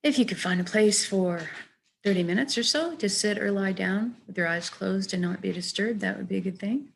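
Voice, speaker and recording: A woman leading a guided meditation, speaking in a gentle, measured, soft way as she gives soothing instructions. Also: mid-range voice, creaky, slight background noise, rough recording.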